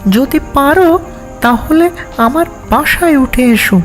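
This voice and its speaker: A woman's voice: sits high, a little slow, soft background music. A woman playing a small, plucky mother bird in a cartoon fable, scolding and protective of her chicks. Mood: defiant.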